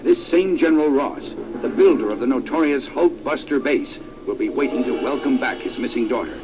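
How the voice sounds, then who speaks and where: A man's voice: mid-range voice, fairly quick, noisy background, close to an everyday voice. A man giving dramatic, portentous scripted narration for a superhero radio drama. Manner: theatrical, portentous. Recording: loud music behind.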